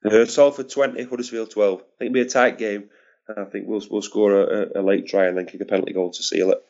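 A man with a hoarse, fading voice talks through a podcast in a casual, stop-start way. His voice sits on the low side, and the recording is dull and muffled. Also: little pitch movement, a little mellow. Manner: halting, repeats words, chuckles. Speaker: podcast co-host. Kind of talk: casual sports chat.

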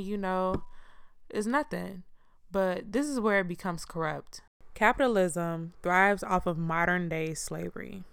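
A young woman hosting her own podcast, talking casually in a chill, low-key monotone with frank, profane asides. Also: clean tone, no echo. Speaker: podcast host. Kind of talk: casual solo podcast talk.

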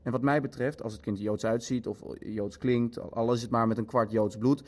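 An actor plays a male Nazi official in an audio drama, in a middle-pitched voice. He thinks aloud conversationally, pragmatic and coldly matter-of-fact as he deliberates. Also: fairly even intonation, close to an everyday voice. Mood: musing, matter-of-fact. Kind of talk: Dutch audio drama acting, naturalistic meeting dialogue.

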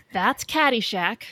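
A woman's voice: on the high side, pushed and bright, dry recording. A woman co-hosting a podcast, discussing films casually with a blunt, dry manner. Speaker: podcast co-host.

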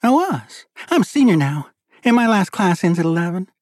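Man putting on a sassy high-pitched teenage girl. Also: sing-song, normal speed, a little mellow, dry recording, studio quality, exaggerated voice. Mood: proud. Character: proud, sassy teenage girl waitress, playful.

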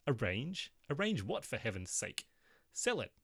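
A man's voice: medium pitch, very animated, unhurried, gravelly, a bit bright, breathy edge, dry recording, clean studio sound, exaggerated voice. A man voicing a restless, irritable young man who complains in a sarcastic, exasperated way.

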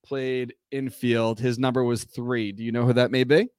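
A man co-hosting a casual sports fan show, chatty and rambling with lots of fillers, a little hoarse from a cough. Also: mid-range voice, level tone, moderate pace, a little mellow, no room sound, studio quality. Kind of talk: casual chat.

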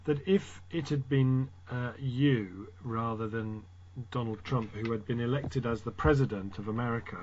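A man interviewing a guest for his book, framing his questions in a long, measured way. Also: medium pitch, a little mellow, dull audio, a little hiss or hum.

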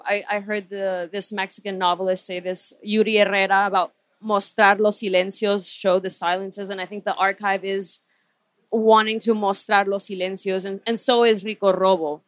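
A woman's voice: mid-range voice, level tone, moderate pace, fairly bright tone, dry recording. A woman who grew up speaking Spanish, talking casually and candidly about her own experience as a panelist. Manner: candid, self-reflective.

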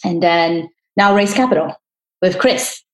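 A woman's voice: on the low side, measured pace, rough recording. A woman. She talks casually as an interview guest, telling stories and explaining her views in a conversational flow. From Hong Kong. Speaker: venture capital partner, interview guest.

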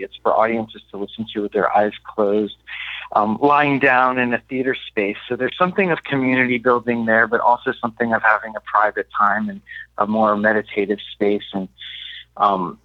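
A man from Miami speaking as an interview guest, thoughtful and halting as he searches for words in reflective talk. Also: on the low side, no echo, dull audio. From Miami, United States. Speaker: interview guest, playwright and mentor. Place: radio studio.

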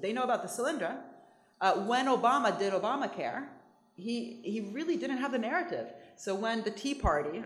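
A woman from Italy with an American accent, speaking fast and emphatically to an audience with restarts and quick asides. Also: on the low side, reverberant. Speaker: economics speaker. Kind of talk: lively talk with audience questions.

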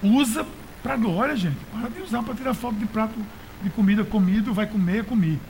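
A Brazilian man with a high-pitched voice delivers a conversational sermon. He exhorts his listeners in everyday language and repeats phrases to drive them home. Light noise sits behind his voice. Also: a little fast, darkish tone, low-quality recording. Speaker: pastor.

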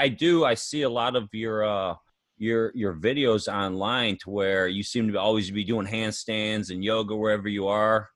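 A man's voice: lowish voice, a bit bright, no echo. A man hosting a podcast, asking questions in a laid-back, casual way and laughing often. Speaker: podcast host. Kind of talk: casual interview chat.

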